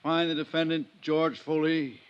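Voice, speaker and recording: A man's voice: fairly high pitch, level tone, moderate pace, clean tone, muffled recording, close to an everyday voice. An actor playing a solemn, formal jury foreman in an old radio courtroom drama. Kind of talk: 1940s-50s radio drama acting.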